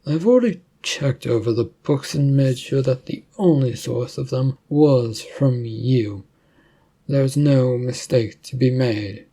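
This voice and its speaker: A man voicing a cold, menacing male government agent in a story, formal, deliberate and accusing. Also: medium pitch, mellow. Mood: stern accusation.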